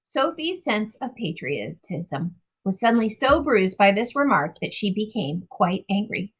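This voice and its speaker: A woman reading a storybook aloud to children in an expressive narrating style. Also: mid-range voice, measured pace, husky, rough recording. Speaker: storybook reader.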